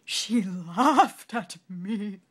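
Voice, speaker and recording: A woman voicing a weepy, self-pitying male king from a children's storybook, in a drawn-out sobbing wail. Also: mid-range voice, expressive, breathy edge, heavily acted.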